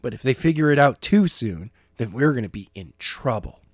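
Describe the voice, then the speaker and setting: Male reader as defiant young hero, insistent, mid pitch, dry close sound. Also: animated, normal speed, no room sound. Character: young man with powers, stubborn. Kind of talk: amateur audiobook reading.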